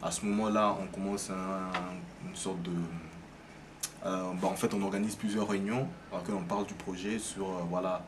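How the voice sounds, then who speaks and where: A man's voice: low voice, level tone, moderate pace. A nineteen-year-old man giving a testimonial interview, hesitant with many fillers and restarts. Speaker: youth project participant. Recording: noisy background.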